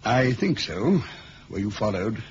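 An anxious, hurried intelligence chief talks with highly animated intonation. The 1970s radio drama sound is a little muffled, with faint noise. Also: male voice. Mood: urgent, relieved.

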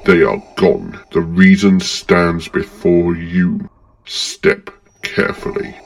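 A man playing a menacing, commanding dark lord in a fan-made space fantasy audio drama, speaking deliberately and with threat. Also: low voice, big pitch swings, creaky, exaggerated voice. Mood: stern, threatening.

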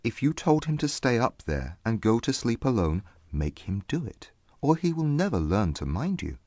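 Storybook husband, played by a woman: deep, swooping intonation, formal, gentle-mannered, quietly firm young father. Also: very animated, dry recording, studio quality, full character voice. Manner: courteous, measured, formal. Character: John, mild old-fashioned husband and firm young father.

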